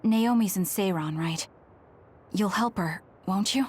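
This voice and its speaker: A woman's voice: mid-range voice, unhurried, a little mellow, slightly rough. A woman playing a serious young soldier in an English game dub, earnest and direct. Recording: faint music behind the voice.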